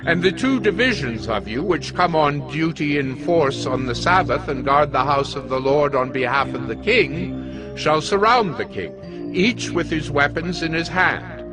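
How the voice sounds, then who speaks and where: An actor playing a resolute high priest who gives deliberate, authoritative orders in an audio Bible drama. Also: male voice, lively intonation, poor audio. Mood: resolute, commanding. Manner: deliberate, commanding instructions. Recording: music bed behind the voice.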